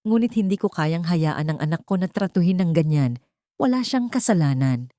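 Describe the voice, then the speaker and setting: Woman voicing a low, protective indignant husband. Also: animated, normal speed, warm tone, dry recording, clean studio sound, close to an everyday voice. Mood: angry. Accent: Filipino. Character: protective, indignant husband, a working-class father.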